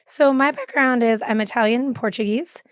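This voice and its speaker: Smooth, bright-toned woman guest. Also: a little high, fairly quick, dry recording, studio quality. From San Diego. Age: 30s. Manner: easygoing, many fillers and yeahs. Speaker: podcast guest. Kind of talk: casual interview chat.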